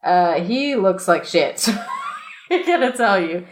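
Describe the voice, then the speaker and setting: A woman's voice: mid-range voice, expressive, takes it slowly, faint music behind. Give me an irreverent, giggly woman reading aloud. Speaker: podcast co-host reading a story aloud. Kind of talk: casual comedic read-aloud with banter.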